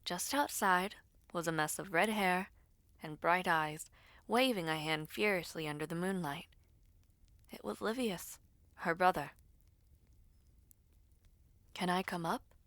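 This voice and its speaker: A woman reading a scripted dramatic story in a measured, hushed literary manner. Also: on the low side, no room sound.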